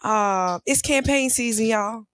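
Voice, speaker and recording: A woman co-hosting a morning show, her voice hoarse and raspy, chatting casually and telling stories. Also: a little high, unhurried, fairly bright tone. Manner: chatty, storytelling, laughs easily. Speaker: radio show co-host.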